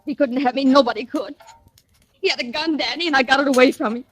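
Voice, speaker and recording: High-pitched, obsessive lovesick woman. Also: quick pace. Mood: frantic, tearful. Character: obsessive, lovesick woman who has killed. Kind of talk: 1940s radio melodrama acting. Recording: noisy old radio recording.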